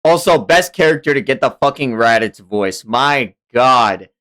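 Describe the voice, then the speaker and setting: A man's voice: mid-range voice, expressive, measured pace, fairly bright tone. A man streaming a comic read-along, narrating dramatically and reacting in casual, profane language.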